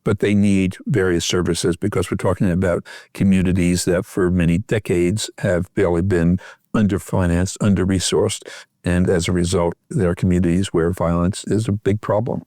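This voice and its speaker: A man's voice: deep voice, flat delivery, normal speed, mellow, no echo, studio quality. A man speaking as an expert guest in a podcast interview, explaining earnestly and repeating key phrases. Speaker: expert guest, physician researcher.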